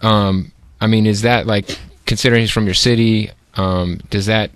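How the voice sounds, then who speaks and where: A man hosting an interview in a casual chat, with halting questions full of fillers. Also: fairly low pitch, fairly even intonation, a bit bright, dry recording. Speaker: interview host.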